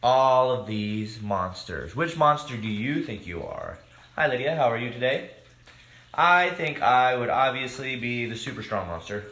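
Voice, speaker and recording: A man reading a picture book aloud to young children, expressive, with casual asides to his listeners. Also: mid-range voice, unhurried. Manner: expressive, simple addresses to children. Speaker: children's story time reader. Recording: echoey room.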